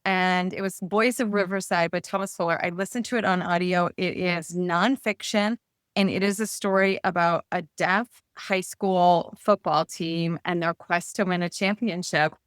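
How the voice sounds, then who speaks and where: A woman's voice: mid-range voice, moderate pace, no echo, studio quality. A woman speaking enthusiastically and effusively as a guest author in a casual interview.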